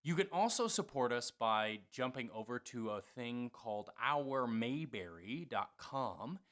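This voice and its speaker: A man hosting a theater show, making an informal, halting fundraising appeal in a slightly apologetic way. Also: medium pitch, big pitch swings, fairly bright tone.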